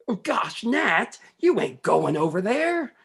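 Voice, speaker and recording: A woman's voice: mid-range voice, sing-song, slow pace, gravelly, slightly rough, exaggerated voice. A woman playing a folksy, superstitious male sailor in a radio play, wary and plain-talking. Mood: uneasy. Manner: folksy, gosh-and-lordy country talk. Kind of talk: radio play acting, 1940s style.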